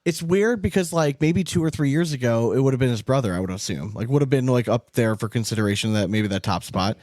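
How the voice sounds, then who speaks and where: A man's voice: mid-range voice, quick pace, darkish tone, no room sound. Male podcast co-host, mid-range voice, blunt slangy sports banter, very dry sound.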